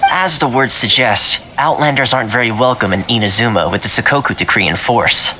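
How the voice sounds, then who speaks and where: A man's voice: medium pitch, fairly quick, bright, forceful tone, a little hiss or hum, natural voice, low-quality recording. A man playing an easygoing, affable young male guide in a video game, breezy and politely cajoling. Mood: easygoing, explaining. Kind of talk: video game character acting.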